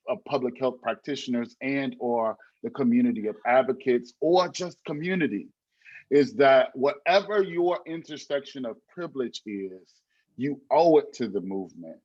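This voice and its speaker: Man, panelist, emphatic repeating delivery, mid pitch, slightly muffled call audio. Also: moderate pace, darkish tone. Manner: emphatic, preacherly repetition. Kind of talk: panel discussion on a video call. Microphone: video call.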